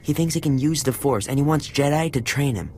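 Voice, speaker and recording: A woman's voice: very deep voice, a little fast, darkish tone, slight background noise. A woman playing a frightened captive interpreter in a video game, hesitant, earnest and pleading. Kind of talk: dramatic video game acting.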